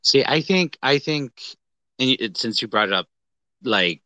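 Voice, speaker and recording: A man co-hosting a podcast, chatting casually about films and games in an animated, rambling, profane way. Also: mid-range voice, moderate pace, dry recording. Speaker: podcast co-host.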